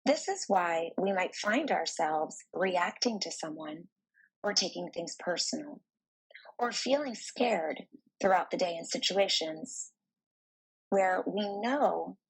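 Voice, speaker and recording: A woman narrating a guided meditation in a soft, soothing, deliberate manner with pauses between phrases. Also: on the low side, lively intonation, husky, rough recording.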